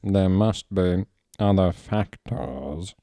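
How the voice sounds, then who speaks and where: Man as a sinister, very slow wizard professor. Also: low voice, warm tone, no room sound, studio quality, exaggerated voice. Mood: grave menace. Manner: slow, drawn-out, crisp enunciation.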